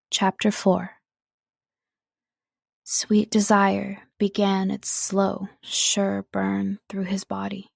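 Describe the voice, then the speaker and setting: A woman narrating audiobooks from a script in an expressive, measured storytelling way, close to the microphone. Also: medium pitch, gravelly, no echo. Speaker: audiobook narrator, voice-over artist.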